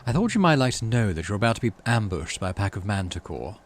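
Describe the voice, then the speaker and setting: A man's voice: lowish voice, big pitch swings, fast talker. A man voicing a sly, teasing male stranger in a sci-fi game. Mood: sly, warning.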